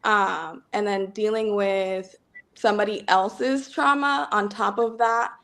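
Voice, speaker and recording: A woman's voice: medium pitch, fairly even intonation, slow, fairly bright tone. Hesitant Dominican woman on a phone call. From Dominican Republic. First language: Spanish. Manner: hesitant, reflective, many ums. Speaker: guest. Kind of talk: casual conversation on a video call. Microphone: phone on video call.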